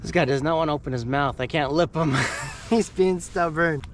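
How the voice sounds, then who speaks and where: A man with a slightly high, groggy voice talks his way through a day of kayak fishing in a relaxed, upbeat way. He is recorded outdoors on the water, and a steady outdoor noise sits clearly under him. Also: normal speed. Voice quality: groggy morning voice. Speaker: fishing vlogger. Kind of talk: casual running commentary while fishing.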